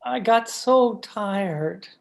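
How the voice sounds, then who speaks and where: A woman's voice: mid-range voice, animated, very slow, gravelly, exaggerated voice. A woman acting a meek, henpecked, frightened husband in an old-time radio thriller, timid and stammering. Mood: weary, uneasy.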